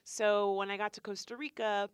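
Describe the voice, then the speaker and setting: A woman chatting casually on a vlog, animated and rambling, full of "like". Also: medium pitch, normal speed, smooth, no room sound. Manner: animated, rambling, heavy use of "like". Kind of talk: casual chat.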